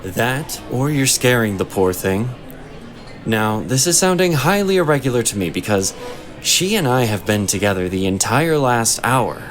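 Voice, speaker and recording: An actor playing a wry, smooth-talking mentor from a magical order in a fantasy audio drama. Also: male voice, medium pitch, animated, moderate pace, natural voice. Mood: wry, assured. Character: glib male member of a magical order, mischievous mentor. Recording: music under the dialogue.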